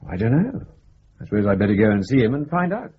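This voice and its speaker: An actor playing a brisk, helpful intelligence office worker in a radio drama, with a matter-of-fact manner. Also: male voice, lowish voice, very animated, slightly rough, dull audio, heavily acted. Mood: intrigued.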